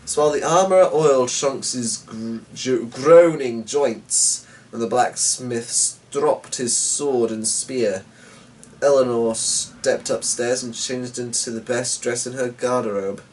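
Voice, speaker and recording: A man reads a story aloud in a theatrical, measured manner. His pace is slow and his mid-pitched voice sits a little away from the microphone. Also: a little mellow, far from the mic, a little hiss or hum, poor audio. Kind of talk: dramatic reading of a short story.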